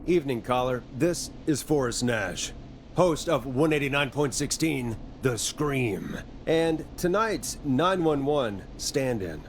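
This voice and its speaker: A man's voice: mid-range voice, animated, slow, a little hiss or hum. A man playing a wry, easily irritated late-night radio talk show host in a video game, with practised on-air patter. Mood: smooth, wry.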